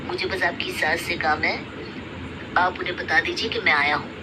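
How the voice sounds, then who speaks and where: A woman voicing a patient, unhurried male lawyer in a moral story, formal and placating. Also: fairly low pitch, fairly even intonation, background noise, voice pushed down, natural voice. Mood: calm. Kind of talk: Hindi moral story acting.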